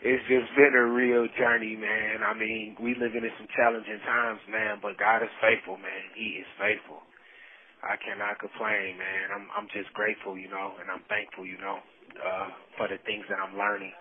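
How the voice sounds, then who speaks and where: A man's voice: on the low side, normal speed. A man giving heartfelt, faith-filled personal testimony in casual vernacular over a prison phone call, repeating himself for emphasis. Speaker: incarcerated storyteller. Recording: muffled, band-limited prison phone call.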